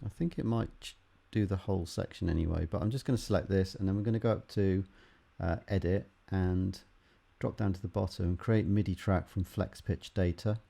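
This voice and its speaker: A man teaching music production in an unscripted tutorial, haltingly thinking aloud as he works through each step. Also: low-pitched, fairly even intonation, creaky, dark tone. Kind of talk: unscripted software tutorial, explaining while demonstrating.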